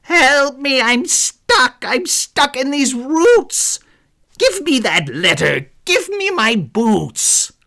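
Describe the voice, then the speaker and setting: A man voicing a sly, bossy storybook villain, a letter thief, with taunting, theatrical, drawn-out phrases. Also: very high voice, sing-song, vocal fry, sharp tone, pitched above the performer's own voice, heavily acted. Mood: panicked, demanding.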